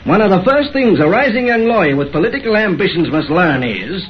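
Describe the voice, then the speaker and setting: Genial older political boss, high swooping voice. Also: male voice, sing-song, a little fast. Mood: jovial. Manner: jovial, fatherly, folksy. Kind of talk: 1930s radio acting. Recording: muffled old radio recording.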